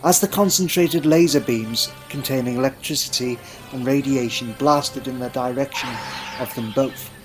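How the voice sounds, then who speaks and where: Male narrator, mid-pitched, dramatic storybook read for sci-fi audio, music underneath. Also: moderate pace.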